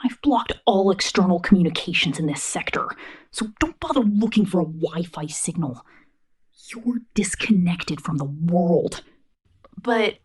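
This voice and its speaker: Breathy, possessive robot girl in whispered ASMR, acted by a woman. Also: medium pitch, a little mellow. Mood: possessive, smug. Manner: slow, teasing, controlling, intimate. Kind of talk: ASMR roleplay, close whispering and soft speech.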